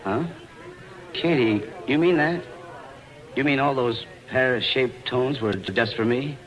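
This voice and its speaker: An actor playing a confident, smooth-talking showman with a breezy, persuasive manner in a 1940s radio drama. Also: medium pitch, slow pace, some chatter behind, natural voice. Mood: tender.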